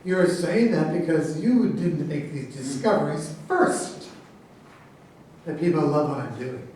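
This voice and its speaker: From far off in a very echoey, noisy space comes a man acting a blustering president. He is high-voiced and boastful, dismissing critics as he defends his absurd ideas in a stage satire. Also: expressive, measured pace, darkish tone. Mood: boastful, defensive. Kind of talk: staged reading of a satirical play. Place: echoey room, far from the microphone. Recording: very echoey, distant, noisy hall recording.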